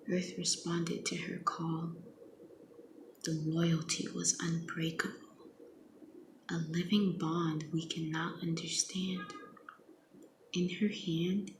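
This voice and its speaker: A young woman speaking very softly in a half-whispered ASMR style, gently reading poetry in an unhurried, hushed manner. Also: fairly low pitch. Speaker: ASMR host reading their own poems. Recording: echoey, with clear background noise.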